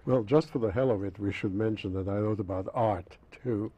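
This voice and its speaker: Dry-witted older man, low mellow voice. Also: a little fast, breathy edge. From former Yugoslavia. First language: German. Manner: dry, precise and witty. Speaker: guest critic. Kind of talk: studio interview. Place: dark TV studio set. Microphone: lapel mic.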